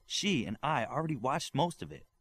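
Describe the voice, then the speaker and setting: A man's voice: medium pitch, animated, moderate pace, a bit bright, slightly rough, voice pushed up. A man voicing an earnest, blunt young superhero in an audiobook. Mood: matter-of-fact.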